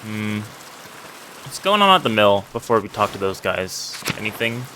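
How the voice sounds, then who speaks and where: Bright-voiced man, casual gaming commentary. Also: on the low side, big pitch swings, normal speed, a little hiss or hum, poor audio. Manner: informal, slangy, musing to himself. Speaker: let's play host. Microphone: wired mic.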